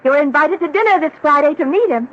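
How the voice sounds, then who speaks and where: A pampered young American heiress, high-voiced and swooping, coaxing and playful yet set on getting her way. Vintage radio drama acting on a dull, hissy recording. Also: animated, fast talker, a bit bright. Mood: affectionate, persuasive. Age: young adult.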